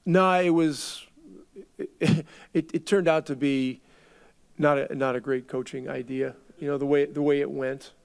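A middle-aged man, a head coach, answering reporters at a postgame press conference in a measured way, often restarting his words as he thinks. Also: fairly high pitch, steady tone, gravelly, clean tone. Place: arena press room. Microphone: table mic.

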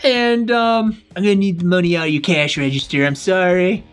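A man's voice: high voice, steady tone, normal speed, smooth, faint music behind, close to an everyday voice. A man acting a scheming but very polite, apologetic male robber in a game roleplay. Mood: politely menacing.

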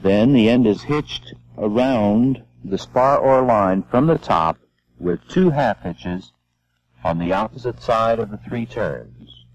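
A man giving measured, step-by-step scripted narration for an instructional film. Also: lowish voice, animated, darkish tone. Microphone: voice-over. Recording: dull lo-fi old film soundtrack.

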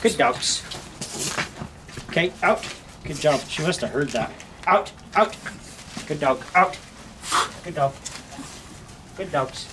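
A man's voice: fairly high pitch, slow, pauses often. A man in his thirties giving casual running commentary while ratting with dogs, calling commands and praising them, on a body camera. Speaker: pest control ratter with dogs. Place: outdoor dirt pens on a farm. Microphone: chest-mounted body camera. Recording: noisy outdoor camera audio. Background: dogs and outdoor ambience.

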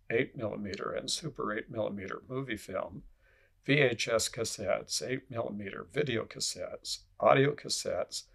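A man reading scripted instructional narration in a measured, formal way, as an instructor would. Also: on the low side, vocal fry, husky.

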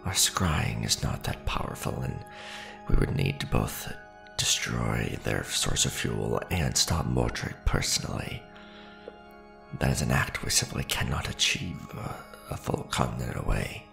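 An ancient vampire lord played by a man speaks in a heavily creaky voice that swings widely in pitch. He is formal and grandiose, a devoted yet ruthless aristocrat in an ASMR roleplay. Faint music sits behind his gravelly words. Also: expressive, exaggerated voice. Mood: grave, brooding. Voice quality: deep, gravelly. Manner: formal, grandiose, deliberate phrasing with pauses.

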